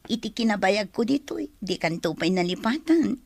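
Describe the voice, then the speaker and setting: A woman's voice: fairly high pitch, creaky, mellow, no echo, exaggerated voice. A woman with an Ilocano accent playing a consoling friend in a radio drama. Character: consoling female friend, longing to go home.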